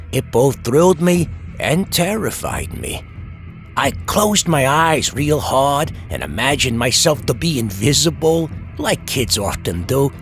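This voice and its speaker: A man's voice: medium pitch, fairly bright tone. A man playing a streetwise narrator who dramatically recounts a frightening boyhood night, with wry asides. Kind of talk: dramatic first-person horror storytelling.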